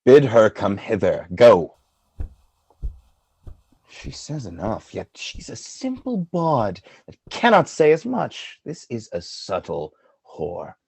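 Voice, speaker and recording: An actor playing a jealous, tormented lord and husband in a Shakespearean radio play, theatrical and volatile, with a husky voice. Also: male voice, medium pitch, dry recording, exaggerated voice. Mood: suspicious, brooding. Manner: theatrical, volatile, swinging between quiet and fury.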